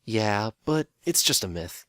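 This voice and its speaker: A man's voice: fairly low pitch, animated, a little slow, bright, forceful tone, smooth, no room sound, clean studio sound. A man voicing a practical, easygoing male handyman pony who talks in a casual, wry and matter-of-fact way.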